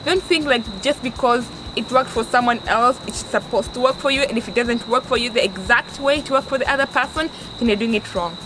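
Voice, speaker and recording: A woman's voice: a little high, brisk, hardly any pauses. Earnest young Tanzanian woman, noisy background. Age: 20s. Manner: earnest, restarts often, stresses words for emphasis. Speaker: vlogger. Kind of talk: casual advice vlog. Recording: steady background noise.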